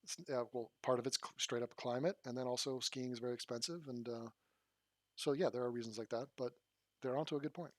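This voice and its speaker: A man's voice: medium pitch, fairly even intonation, quick pace, no echo. Male channel host, casual and blunt, mid voice, dry close sound. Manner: blunt, rambling, swears freely. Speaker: channel host reacting to a clip. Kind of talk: casual commentary.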